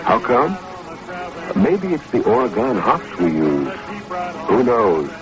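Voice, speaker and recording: An American man narrating a 1960s radio commercial in a folksy, unhurried storytelling way. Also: medium pitch, wildly swooping pitch. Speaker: beer commercial announcer. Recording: muffled old radio broadcast. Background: music.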